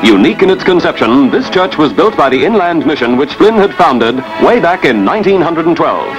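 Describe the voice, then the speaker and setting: A man's voice: fairly high pitch, very animated, a little fast, poor audio. A man delivers formal, declamatory scripted narration in the style of a 1950s newsreel. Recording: 1950s archival newsreel soundtrack. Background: music.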